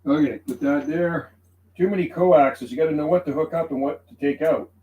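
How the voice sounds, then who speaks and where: Higher-voiced man on CB radio. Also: moderate pace, mellow, muffled recording. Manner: folksy, repeats phrases, radio slang. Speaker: CB radio operator and livestreamer. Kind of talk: casual radio chat and livestream commentary. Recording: roomy sound with faint background noise.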